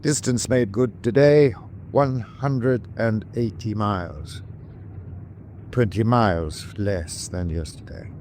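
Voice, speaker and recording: An actor playing a weary, dutiful German submarine captain in a wartime radio drama, speaking in a formal, measured way. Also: male voice, lowish voice, darkish tone, a little hiss or hum. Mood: sober, reporting.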